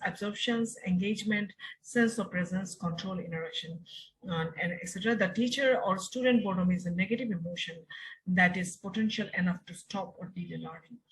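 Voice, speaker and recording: A woman with a Bangladeshi accent giving an academic research presentation as a university lecturer, in a halting, word-by-word way. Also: on the low side, fairly bright tone, a little hiss or hum, low-quality recording.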